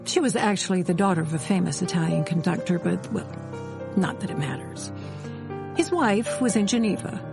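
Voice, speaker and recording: A woman's voice: medium pitch, lightly acted. An actress plays a composed woman insider narrating a radio drama. Her mid-range voice is wry and knowing, telling things drily over faint music. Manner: arch, dry, knowing.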